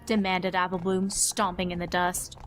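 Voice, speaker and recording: A woman's voice: on the low side, little pitch movement, moderate pace, over loud music. A woman reading scripted story narration as the narrator of a tale.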